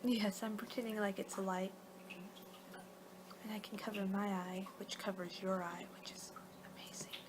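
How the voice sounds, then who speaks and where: A woman's voice: mid-range voice, flat delivery. Woman doing rambling ASMR, noisy background. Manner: rambling, halting, losing her train of thought. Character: young-for-her-kind female vampire guiding a client, casual, a little exasperated. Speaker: ASMR performer. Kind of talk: soft-spoken ASMR roleplay, partly whispered.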